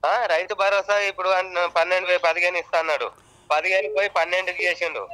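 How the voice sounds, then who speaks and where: Man, higher-pitched voice, crisp and forceful, Telangana accent, insistent farmer complaining, phone call. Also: steady tone, fast. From Telangana, India. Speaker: farmer calling about a loan waiver. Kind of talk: phone complaint, casual conversation. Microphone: telephone.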